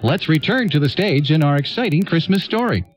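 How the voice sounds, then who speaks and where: A man's voice: fairly high pitch, lively intonation, brisk. A man delivering a scripted station announcement as a radio announcer.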